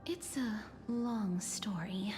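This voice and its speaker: Woman acting a slow-spoken, courteous game heroine. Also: medium pitch, lightly acted. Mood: earnest. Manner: formal, measured, gentle. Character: calm, courteous noble young heroine. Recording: game music behind voice.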